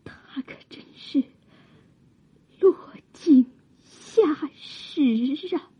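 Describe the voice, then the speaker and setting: A woman acting a young, wronged imperial consort in an audio drama, bitter, proud and defiant, with breathy, drawn-out tragic delivery. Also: sits high, animated, dark tone, muffled recording, full character voice. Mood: shocked, bitter.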